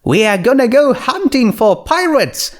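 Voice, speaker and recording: A man voicing an excitable little filly pony, an eager young adventurer with a squeaky, exuberant manner, in a story reading. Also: measured pace, heavily acted.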